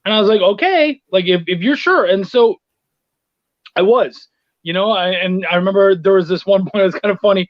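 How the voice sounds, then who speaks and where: A man with a high voice talks casually as a guest, telling stories and replaying conversations. The sound is dry and a little muffled, like an online call. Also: fairly quick, dry recording. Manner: anecdotal, acting out quoted exchanges. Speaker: guest, freelance comics writer and editor. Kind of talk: casual interview chat.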